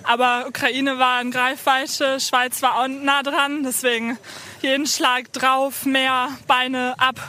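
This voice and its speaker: Give me a young woman athlete just off the water, very high and bright, laughing through her answers. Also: takes it slowly. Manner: excited, laughing, breathless rush. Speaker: athlete interviewed. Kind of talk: post-race interview.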